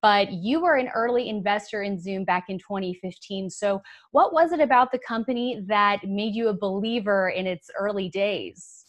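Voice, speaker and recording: A woman's voice: medium pitch, moderate pace. A woman in her thirties hosting a business news interview from a studio, in a polished, upbeat presenting manner. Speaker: news show host.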